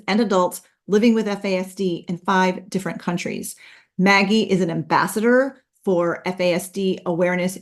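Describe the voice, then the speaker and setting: A woman hosting a podcast, with a voice of middle pitch. She talks in a casual, upbeat way and fills her pauses with ums. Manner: chatty, upbeat, many ums. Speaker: podcast host.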